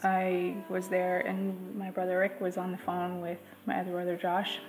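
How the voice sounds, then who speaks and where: A woman in her thirties telling a personal story in a quiet, measured way, in a living room. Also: fairly low pitch, completely flat delivery, soft background music, poor audio. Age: 30s. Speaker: grieving sister. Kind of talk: personal testimony.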